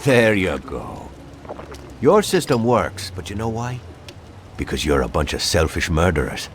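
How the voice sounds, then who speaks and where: Cynical cop in a game, sarcastic and needling, pitch jumps around a lot, bit of traffic noise. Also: male voice, very animated, unhurried. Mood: scornful. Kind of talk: video game cutscene acting.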